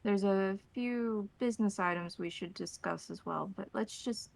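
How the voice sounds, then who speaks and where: A woman playing a concerned, reserved male partner on a phone call, speaking in a measured, formal, polite way. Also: mid-range voice, gravelly, lightly acted. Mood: gentle, reserved.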